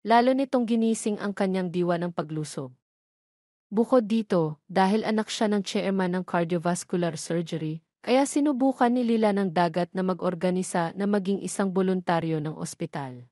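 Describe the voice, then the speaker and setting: A woman's voice: fairly low pitch, moderate pace, warm tone, dry recording, studio quality. A woman reading scripted narration for an audio drama love story.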